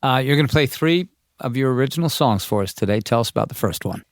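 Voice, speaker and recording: A man interviewing a musician in a relaxed way, with a gravelly, creaky voice at a middling pitch. The sound is dry and close. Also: fast, dry recording. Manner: relaxed, short questions and brief replies. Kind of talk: casual interview questions.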